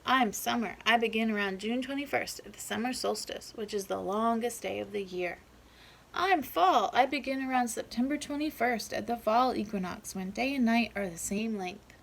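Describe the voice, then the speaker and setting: A woman's voice: on the high side, moderate pace, gravelly, a bit bright, rough recording. A woman voicing storybook groundhogs who play the seasons, announcing themselves as if reciting in a school skit. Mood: presenting. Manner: declarative, recital-like self-introduction.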